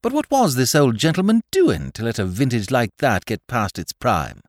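An audiobook character: a man playing a spirited young English aristocrat with an upper-class accent. The voice rides high and swoops eagerly. Phrases come clipped and exclamatory, with great emphasis, in a close, dry sound. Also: sing-song, normal speed, no echo, natural voice. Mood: eager, animated. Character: lively, emphatic English gentleman, young lord and connoisseur.

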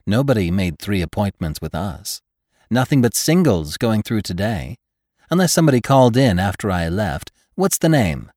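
A prim, businesslike woman receptionist as a male narrator puts her on speaks briskly and properly. The storybook voice is lifted in pitch and lively, with a dry sound. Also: dry recording. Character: matter-of-fact, prim female clinic receptionist.

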